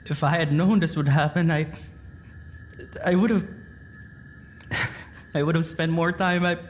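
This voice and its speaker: Low, slow, regretful woman. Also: fairly even intonation, a little hiss or hum. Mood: bitter regret. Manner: halting, emotional, sighing pauses. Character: regretful woman, tender but angry, grieving lost time. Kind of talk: audio drama acting.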